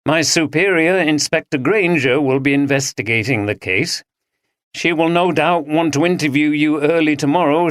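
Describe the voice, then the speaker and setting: A man voicing a polite young male detective who speaks in a measured, official way. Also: fairly high pitch, fairly bright tone, no room sound, studio quality, pitched above the performer's own voice, close to an everyday voice. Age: young adult.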